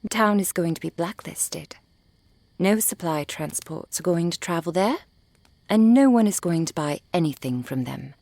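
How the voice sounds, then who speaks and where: A woman acting a composed, refined, cold-hearted merchant in an ASMR roleplay, with formal, precise, measured diction. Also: fairly low pitch, lively intonation, a little mellow, dry recording. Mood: cool, matter-of-fact. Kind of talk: ASMR roleplay audio drama.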